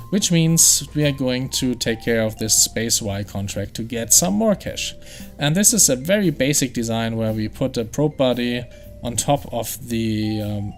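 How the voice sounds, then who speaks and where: Brief: gaming host, German accent, chatty, thinking aloud, average pitch. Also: male voice. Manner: explanatory, chatty, thinks aloud with fillers and restarts. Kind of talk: game playthrough commentary.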